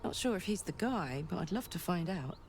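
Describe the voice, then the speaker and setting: A woman's voice: on the low side, expressive, a little fast, slight background noise. A woman playing a brash, hot-blooded warrior in a video game, blunt, swaggering and sardonic. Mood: hopeful, frank. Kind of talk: video game dialogue acting. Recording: game dialogue with music behind.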